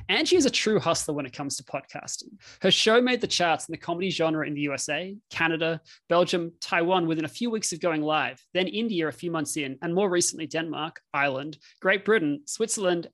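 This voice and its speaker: A man's voice: a little high, animated, a little fast, fairly bright tone. A man hosting a podcast, reading a polished, upbeat introduction and then interviewing a guest. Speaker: podcast host and coach.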